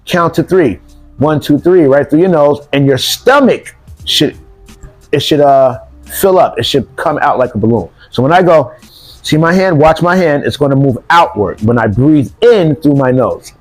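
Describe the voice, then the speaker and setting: A man's voice: on the high side, expressive, moderate pace, faint music behind. A man teaching self-defense breathing exercises, repeating cues and counting breaths aloud. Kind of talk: demonstration lesson.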